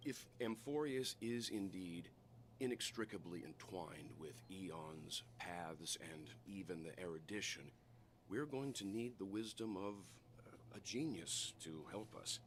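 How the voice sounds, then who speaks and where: A man voicing a mature, courteous and earnest traveler in a video game, speaking in a measured, polite way. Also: sits low, expressive, a bit bright, a little hiss or hum. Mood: grave.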